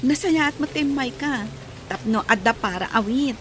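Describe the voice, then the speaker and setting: A woman as a high-voiced, sweet Ilocano sweetheart. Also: expressive, moderate pace, a bit bright, a little hiss or hum. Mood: affectionate. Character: sweet young village sweetheart, affectionate and playful. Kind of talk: Ilocano radio drama acting.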